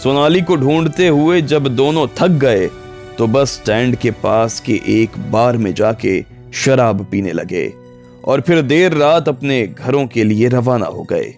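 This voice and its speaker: A male audiobook narrator with a medium-pitched voice. He tells a scripted story in drama form. Faint music runs underneath. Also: animated, slow, a little mellow.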